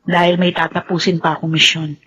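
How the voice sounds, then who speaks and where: A woman's voice: on the low side, little pitch movement, a little fast, fairly bright tone, muffled recording, a little hiss or hum, lightly acted. A Filipino actress playing a headstrong, vengeful young woman in a radio drama. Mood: exasperated, evasive.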